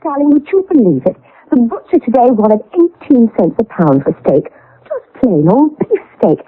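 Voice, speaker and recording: An actress playing a playful, teasing young American wife in a radio drama. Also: female voice, a little high, moderate pace. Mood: mock-genteel. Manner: animated, teasing. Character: playful young expectant wife delighted by her old house. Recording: old radio broadcast, narrow band.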